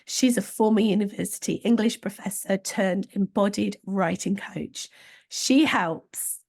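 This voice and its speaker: Gravelly-voiced woman podcast host. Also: medium pitch, unhurried, darkish tone. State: slightly squeaky voice. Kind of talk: podcast hosting.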